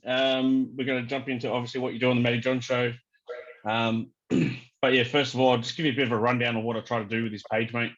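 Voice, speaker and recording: A man's voice: medium pitch, level tone, quick pace, fairly bright tone, dull audio. A man from Australia with a New Zealand-tinged accent, hosting a casual interview in a hesitant, deferential manner. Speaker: interviewer, fan page host.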